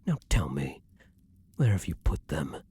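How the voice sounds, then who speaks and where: A man playing an imperious, lovesick vampire king in an intimate ASMR fantasy roleplay, speaking formally and theatrically with breathy, dramatic pauses. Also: low voice, big pitch swings, dark tone, dry recording, heavily acted. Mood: cold menacing fury. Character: ardent, imperious male vampire king, lovesick then menacing.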